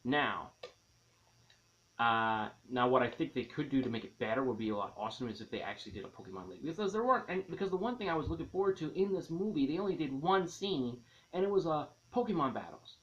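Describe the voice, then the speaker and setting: A man's voice: mid-range voice, lively intonation, quick pace, a bit bright. A man with a mid-range voice hosts a casual movie review in slightly dull, lo-fi sound. He is enthusiastic and rambles, circling back to rephrase what he has just said.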